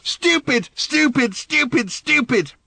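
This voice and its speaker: A man reading an audiobook, voicing an angry, hurt teenage girl who shouts at her father. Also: sing-song, moderate pace, dry recording, natural voice. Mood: furious. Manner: shouting, shrill, furious outbursts.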